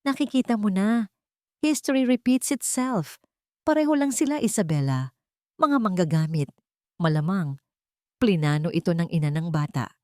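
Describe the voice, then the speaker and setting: A woman's voice: mid-range voice, animated, moderate pace, long pauses, a little mellow, dry recording, clean studio sound, lightly acted. A woman narrator voicing a scheming brother who feigns sympathy and scolds with scorn in a romance story. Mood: scornful, scolding. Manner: insinuating, scolding.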